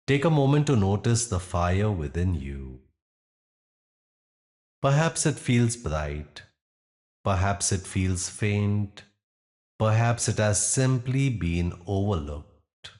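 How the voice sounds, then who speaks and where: Deep-voiced, very slow male meditation guide. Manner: calm, measured, with long pauses between short phrases. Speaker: yoga nidra meditation guide.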